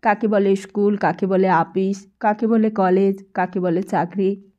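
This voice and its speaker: A woman's voice: medium pitch, steady tone, a little slow, highs cut off. A woman narrating a literary novel as an audiobook, reading from a script.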